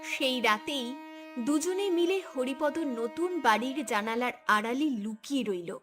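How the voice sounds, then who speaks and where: A woman's voice: high voice, animated, a little slow, over music. A woman narrating a scripted cartoon story in a dramatic storytelling style.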